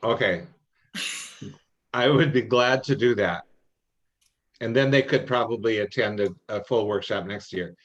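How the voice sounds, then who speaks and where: A middle-aged man giving informal, folksy advice in an online meeting, halting and repeating himself as he explains. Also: lowish voice. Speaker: experienced contest judge and adviser. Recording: muffled, band-limited online meeting audio.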